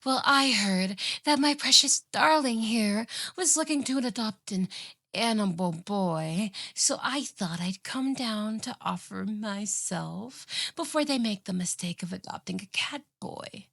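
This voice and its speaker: Obsessive kitsune yandere played by a woman, ASMR roleplay. Unhurried, smug and sweetly menacing, dry studio sound. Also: medium pitch, animated, gravelly, a bit bright, dry recording, heavily acted. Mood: smug, possessive. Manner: sugary, boastful, taunting. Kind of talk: scripted ASMR roleplay acting.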